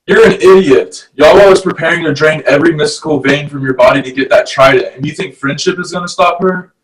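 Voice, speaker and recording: A man's voice: a little high, brisk, recorded from a distance, natural voice, rough recording. An actor at a table read playing a stern, grumpy fish-man guardian with a dry, exasperated manner. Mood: urgent.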